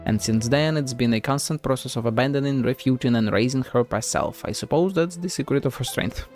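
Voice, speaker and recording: A man's voice: mid-range voice, brisk. A man streaming a game, thinking aloud in casual playthrough commentary. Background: soft game music.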